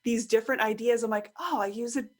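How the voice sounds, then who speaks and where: A woman's voice: on the high side, steady tone, fast talker. A woman in her thirties, a podcast guest talking casually and enthusiastically, often laughing and acting out quoted remarks. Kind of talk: casual conversational interview.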